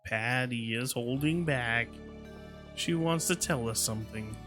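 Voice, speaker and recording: Male streamer, unhurried pace, tired, casual commentary, clear game music behind. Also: mid-range voice, a bit bright.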